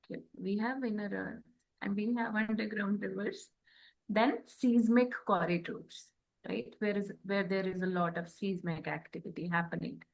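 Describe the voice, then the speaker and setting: Indian woman explaining spiritual stuff, really unhurried n halting, keeps checking you follow. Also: mid-range voice, gravelly, a bit bright, poor audio. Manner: deliberate, halting, punctuates points with check-in questions. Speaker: co-host.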